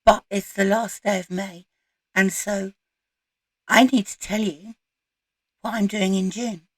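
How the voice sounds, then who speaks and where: A woman with a croaky voice, recovering from voice loss, chatting casually to camera as the host of a book channel. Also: mid-range voice, steady tone, slow, no echo, clean studio sound. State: croaky, recovering from losing her voice. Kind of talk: casual chat to camera about reading plans.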